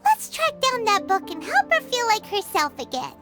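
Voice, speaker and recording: A woman voicing a tiny, perky, excitable fairy companion in a video game, with a squeaky childlike voice. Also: moderate pace, loud music behind the voice. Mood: concerned, encouraging. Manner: refers to self in third person, exclamatory. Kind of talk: video game character acting.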